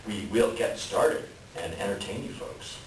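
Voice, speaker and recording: A man's voice: lowish voice, measured pace. A man giving a chatty, wryly joking introduction to an audience as host of a play reading. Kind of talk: informal stage introduction to an audience. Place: library event room. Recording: echoey room, distant mic, clear background noise.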